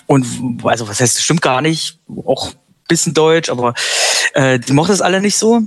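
A man's voice: a little high, lively intonation, a little fast, dry recording. A man who sings and writes the lyrics for a band, answering interview questions in a chatty way with light laughs. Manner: chatty, laughs between sentences.

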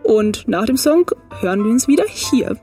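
A woman's voice: fairly high pitch, animated, moderate pace, recorded from a distance. Woman host reading questions, music behind. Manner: reads questions, enthusiastic replies. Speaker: radio host. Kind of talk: scripted interview questions.

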